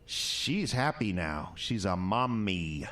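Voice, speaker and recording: Bitter, drunken ex-husband for audio drama: low voice, crude, rambling, menacing. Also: male voice, very animated, slow, fairly bright tone, heavily acted. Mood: scornful, bitter.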